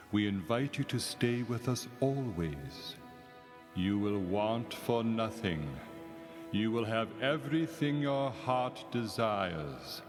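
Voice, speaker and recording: An actor playing a courtly, inviting Sultan with a veiled threat, stately, in a reverberant radio play over music. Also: male voice, on the low side.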